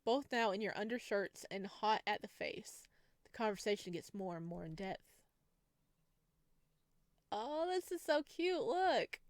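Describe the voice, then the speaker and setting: A woman's voice: mid-range voice, expressive, measured pace, pauses often, no echo. Girl doing a visual novel lets play, reads it out n reacts, giggly, gushy, really dry sound. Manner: giggly, gushing, reacts with exclamations. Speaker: gaming video host. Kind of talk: visual novel let's play, reading text aloud with excited reactions.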